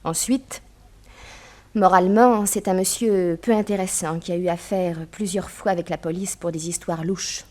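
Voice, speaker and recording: A woman's voice: lowish voice, fairly even intonation, moderate pace, mellow, lightly acted. An actress playing an anxious, determined young businessman's daughter in a 1960s French radio drama, speaking earnestly and emphatically. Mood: indignant. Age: young adult. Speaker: actress in a detective radio serial.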